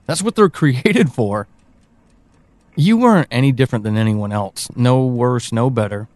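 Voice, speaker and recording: A man playing a teasing, evasive confidant in an audio drama, speaking slowly and deliberately with dramatic pauses. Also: medium pitch, animated, a little mellow, no echo, close to an everyday voice. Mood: wry, teasing. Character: teasing, evasive confidant guiding someone through dark memories. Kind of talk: audio drama acting, intimate monologue and dialogue.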